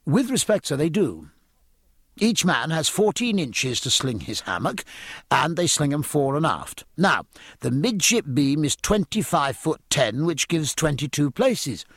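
A man's voice: a little high, big pitch swings, a little slow, fairly bright tone, no room sound, studio quality, pitched above the performer's own voice, heavily acted. A man voicing an earnest, eager young midshipman in an audiobook, polite and keen to explain nautical matters. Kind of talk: audiobook character acting.

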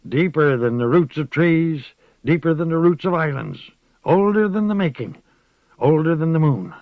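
A man's voice: on the high side, no echo, voice pushed up. A man reading an audiobook, voicing an old rustic village witch woman who rambles folksily, calls people dearie and slips into chanting. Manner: rocking, chanting incantation. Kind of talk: audiobook character voice. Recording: dull, dry lo-fi recording.

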